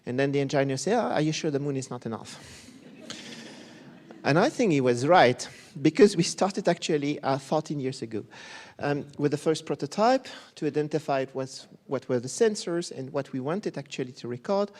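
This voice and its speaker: A man's voice: on the high side, moderate pace. Man giving a conference talk. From Belgium. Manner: candid, self-deprecating, lively presenter. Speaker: conference speaker, professor.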